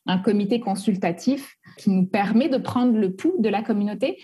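Husky Québécoise research director. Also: female voice, mid-range voice, mellow. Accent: Québécois French. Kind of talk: interview answers.

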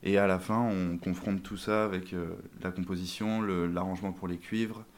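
A man's voice: low-pitched, steady tone, normal speed. A young man, a band member, talking in an interview with many fillers and restarts. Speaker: band member, sousaphone player. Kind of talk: interview conversation.

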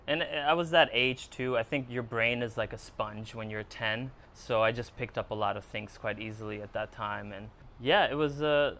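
Interview guest: man in his thirties, raised in Germany, Hungarian first language, smooth resonant voice, easy storytelling with restarts. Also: lowish voice, a bit bright. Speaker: guest, skate videographer. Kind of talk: casual interview.

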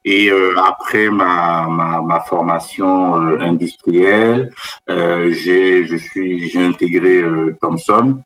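A middle-aged man from Benin, a business school professor, answering interview questions in a halting way with many fillers and restarts. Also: low-pitched, fairly even intonation, fairly bright tone, poor audio. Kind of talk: interview conversation.

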